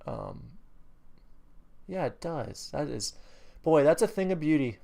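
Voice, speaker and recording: Gravelly New England man reviewing trades. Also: a little high, animated, slow, mellow. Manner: rambling, many fillers and self-corrections; enthusiastic bursts. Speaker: trading educator. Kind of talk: screen-recorded trade review.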